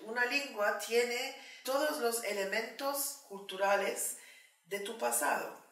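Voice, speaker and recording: A woman from Turkey whose first language is Turkish, speaking slowly and thoughtfully with many pauses in a documentary interview. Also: fairly high pitch, fairly bright tone. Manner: slow, thoughtful, with frequent pauses and fillers. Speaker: Ladino language advocate interviewee. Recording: roomy sound, mic at a distance.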